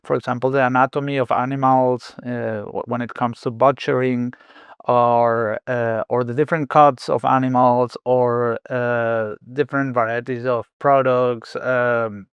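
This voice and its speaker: A middle-aged man from Venezuela talks as a chef guest on a podcast, weighing his words with frequent restarts and fillers. His voice is smooth and clear at a middle pitch. The sound is dry and close. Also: flat delivery, measured pace, dry recording, highs cut off. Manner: thoughtful, many restarts and fillers. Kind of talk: podcast interview.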